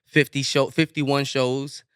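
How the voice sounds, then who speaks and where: Man with a high, smooth, bright voice. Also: slow, no echo, clean studio sound. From Inglewood, California. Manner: relaxed, loose, swears freely, many restarts. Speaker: rapper guest. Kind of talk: casual podcast interview.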